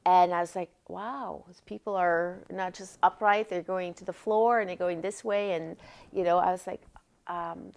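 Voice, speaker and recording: Casting: woman, 60s, Swiss accent, slightly low pitch, informal interviewee reminiscing in a halting, think-aloud way. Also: steady tone, vocal fry, a little mellow. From Switzerland. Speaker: former dancer and choreographer being interviewed. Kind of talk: oral history interview, personal recollection.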